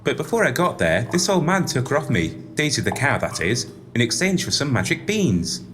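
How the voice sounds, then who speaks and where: A woman's voice: a bass voice, sing-song, brisk, a little hiss or hum. A woman playing a plucky, naive young farm boy in an audio pantomime, earnest and inquisitive. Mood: chatty, explaining.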